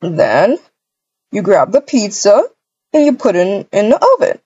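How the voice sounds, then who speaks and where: A husky-voiced woman improvises a cozy family roleplay for a game video. Her pitch moves around a lot, and she talks in halting, repeated phrases sprinkled with endearments. The recording is dull and lo-fi. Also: sing-song, mellow. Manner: halting, repetitive, cooing endearments. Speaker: roleplay video creator voicing all characters. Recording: dull, lo-fi microphone sound.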